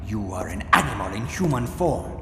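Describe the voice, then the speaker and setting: Casting: suave, condescending game official, formal and precise, lively intonation, echoey hall sound. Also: male voice, fairly low pitch, normal speed. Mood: formal, accusing. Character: suave, accusing male authority figure, provocative and cold. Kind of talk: video game cutscene acting. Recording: echoey hall reverb under music.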